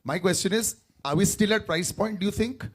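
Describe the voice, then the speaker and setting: A man's voice: high voice, husky. A man with an Indian accent hosts a press event, steering the questions in mixed English and Hindi. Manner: brisk, directing the questions. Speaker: event host.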